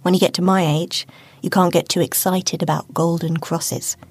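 A woman voicing a calm, weary older peasant mother who speaks in a low, hushed, matter-of-fact way. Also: normal speed, slightly rough, dry recording.

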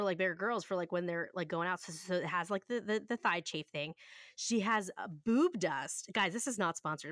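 A woman's voice: fairly low pitch, a bit bright, no echo. Chatty woman, close dry sound. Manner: candid, chatty, swears freely, emphatic. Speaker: podcast host. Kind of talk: casual podcast chat.

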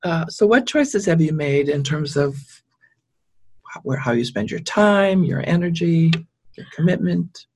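Male interviewer, husky and slightly high-pitched, asks gentle open questions. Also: lively intonation, measured pace. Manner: soft-spoken, many quiet backchannels. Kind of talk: interview conversation.

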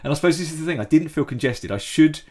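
A man tells a story in relaxed conversation. His pitch swings widely as he talks, and he often stops and restarts. The delivery is lively and expressive. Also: fast talker. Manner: animated storytelling with frequent restarts. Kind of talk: casual chat.

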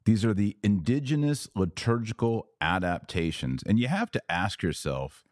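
A man's voice: on the low side, expressive, moderate pace, no room sound, clean studio sound. A man hosting a solo podcast, delivering opinionated commentary in a sardonic, emphatic way. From Texas. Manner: sardonic, emphatic, shifts pace often. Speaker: podcast host.

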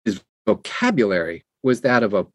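A man's voice: on the low side, big pitch swings, moderate pace, no echo, studio quality. A middle-aged American man answering conversationally as an interview guest, explaining earnestly and emphatically with anecdotes. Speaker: podcast guest, audiobook narrator.

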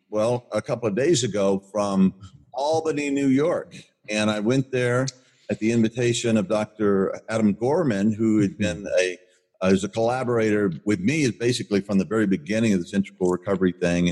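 An older man with a booming voice, co-hosting a podcast and telling a story in an enthusiastic, rambling way with many restarts. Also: darkish tone, poor audio. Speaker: podcast co-host. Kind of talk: casual rambling chat.

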